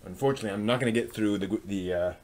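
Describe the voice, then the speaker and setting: A man's voice: fairly low pitch, racing. A man hosting a live stream with casual, rambling chat and hesitant asides.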